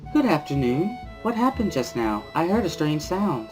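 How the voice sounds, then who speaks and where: Somebody doing a polite caring schoolgirl, gentle n formal, pitched way up, music playing. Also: sing-song, normal speed, lightly acted, low-quality recording. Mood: concerned.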